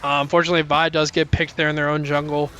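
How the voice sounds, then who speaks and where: A young man casting a live college esports match, calling plays quickly, with many fillers and sudden jumps in pitch at big plays. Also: flat delivery, bright, forceful tone, clear, resonant tone. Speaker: esports caster. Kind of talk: live play-by-play commentary. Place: college esports broadcast booth.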